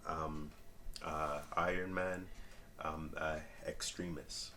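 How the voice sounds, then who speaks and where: A man's voice: extremely low pitch, flat delivery, slow pace, bright, forceful tone, a little hiss or hum. A man co-hosting a casual review chat, speaking in a hesitant, agreeable way. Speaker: co-host of a comic review show.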